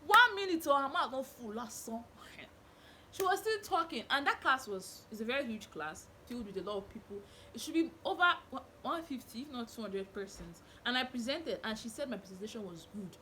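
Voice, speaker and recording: A young woman with a Nigerian accent, telling stories to camera in a small bedroom with animated gestures. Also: fairly high pitch, brisk, a little hiss or hum. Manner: animated, expressive, indignant storytelling with hand gestures. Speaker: vlogger. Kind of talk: storytelling to camera. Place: small bedroom with curtain backdrop.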